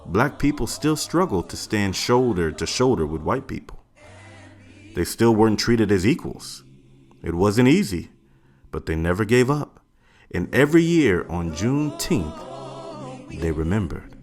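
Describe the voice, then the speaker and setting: A man reads a loving father telling his small daughter a family story, slow and expressive. The voice sits low but rises and falls a lot. Soft music plays faintly behind him. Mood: storytelling. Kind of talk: family read-aloud of a picture book. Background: faint added music.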